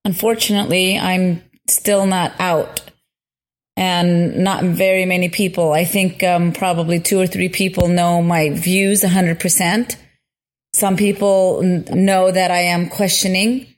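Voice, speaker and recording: A woman's voice: on the low side, flat delivery, a little slow, dry recording. A middle-aged woman giving a personal testimony in a voice message, hesitant and searching for her words. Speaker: listener leaving a voicemail.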